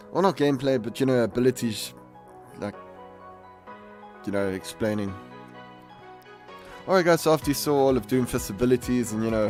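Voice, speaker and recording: A man hosting a gaming video with casual, rambling commentary, halting and correcting himself as he goes. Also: lowish voice, a little mellow. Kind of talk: casual game showcase commentary. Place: voice-over on game capture.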